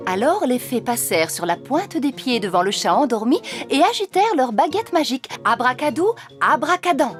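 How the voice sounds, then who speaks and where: A woman voicing a genteel, patient fairy queen mother who tells stories expressively in a French cartoon dub. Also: a little high. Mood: storytelling.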